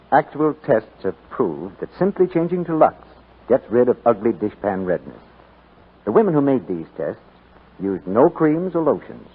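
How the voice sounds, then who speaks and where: Husky, animated 1940s radio announcer man. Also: medium pitch. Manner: polished, persuasive sales delivery. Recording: muffled 1940s radio broadcast, narrow band.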